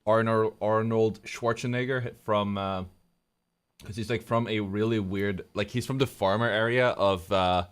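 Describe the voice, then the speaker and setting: A man's voice: lowish voice, steady tone, a little slow, smooth. A young man from Sweden with a Swedish accent, casually casting a tournament on his stream and rambling with lots of fillers and restarts. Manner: rambling, loose, many fillers and self-corrections. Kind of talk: casual live-stream commentary.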